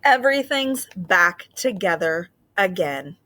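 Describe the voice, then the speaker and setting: A woman teacher explaining reading ideas to children in a gentle, patient way with frequent fillers, then reading a picture book aloud expressively. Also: fairly low pitch, sing-song, takes it slowly.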